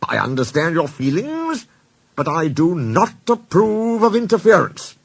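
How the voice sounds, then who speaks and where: Give me a stern, dry-spoken railway chief, played by a man, measured and deliberate, from a storybook. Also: on the high side, big pitch swings, gravelly, no room sound, voice pushed up, heavily acted. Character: stern portly male railway boss.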